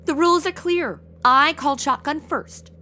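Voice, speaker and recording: A woman's voice: sits high, normal speed, pushed and bright, slight background noise. An actress playing a brash, irreverent modern young woman in a comedic audio drama, sarcastic and chatty. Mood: snarky.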